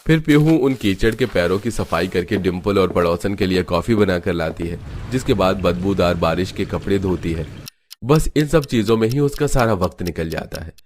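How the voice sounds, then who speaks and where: A man's voice: deep voice, expressive, normal speed, a little mellow, slight background noise. A man narrating a scripted cartoon family story.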